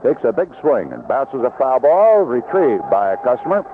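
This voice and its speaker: A male baseball announcer delivers live play-by-play in short descriptive phrases, with an animated, widely moving pitch at an ordinary male height. The sound is a narrow, lo-fi 1970s radio broadcast with faint noise behind the voice. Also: normal speed. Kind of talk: live radio sports commentary. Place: outdoor ballpark broadcast position.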